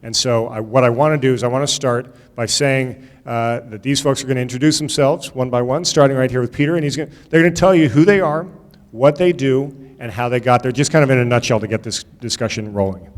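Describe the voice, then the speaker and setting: A man's voice: mid-range voice, steady tone, fast. A middle-aged man hosting a school career panel, loose and emphatic, often checking in with "all right?". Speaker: host, moderator. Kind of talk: event moderation. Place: high school auditorium. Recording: reverberant hall.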